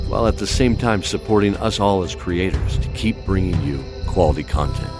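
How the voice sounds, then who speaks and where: A man reading scripted, dramatic narration as the narrator and announcer of an audio drama. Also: sits low.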